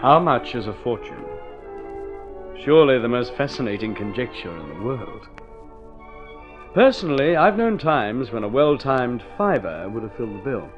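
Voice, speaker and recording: A man's voice: medium pitch, sing-song, normal speed. A man narrating a story in vintage radio drama style, with an urbane, wry, theatrical manner. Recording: dull old radio recording with music.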